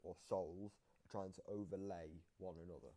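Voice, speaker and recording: Deep-voiced man explaining, with noisy background. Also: fairly even intonation, moderate pace. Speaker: video commentator explaining game voice lines. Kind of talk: informal explanatory commentary.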